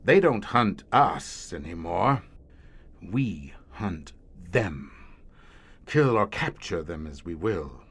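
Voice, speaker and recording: A male actor plays a grim veteran hunter of monsters in an audio horror drama. His delivery is deliberate and slow, yet it swings widely in pitch. He speaks in ornate, haunted phrases with a dry, wry edge. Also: very animated, husky, heavily acted. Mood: grave, confiding.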